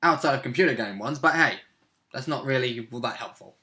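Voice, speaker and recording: A man's voice: mid-range voice, brisk, sharp tone. A man in his twenties chatting casually as a player about a tabletop game session, recorded indoors on a webcam. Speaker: player and co-presenter. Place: small home room, indoors. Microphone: webcam at arm's length.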